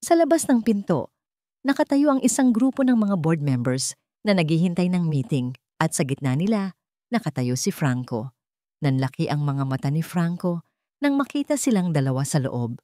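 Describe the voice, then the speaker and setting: A woman narrating a scripted romance story in a dramatic, measured way. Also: sits low, sing-song, warm tone, no room sound, clean studio sound.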